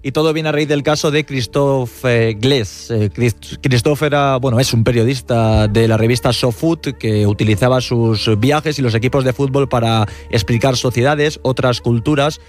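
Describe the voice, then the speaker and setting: A man's voice: mid-range voice, normal speed, faint music behind. A man hosting a radio sports show, explaining a story in a conversational way.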